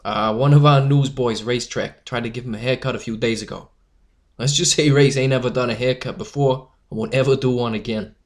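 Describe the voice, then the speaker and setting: A man's voice: mid-range voice, steady tone, a little fast, close to an everyday voice. A man voicing a cocky, streetwise newsboy leader in a fan audio drama.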